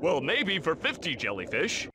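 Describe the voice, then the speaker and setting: A man's voice: a little high, wildly swooping pitch, normal speed. A man voicing a laid-back, slangy beach dude in a cartoon video game. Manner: slangy surfer-dude talk. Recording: clear background noise.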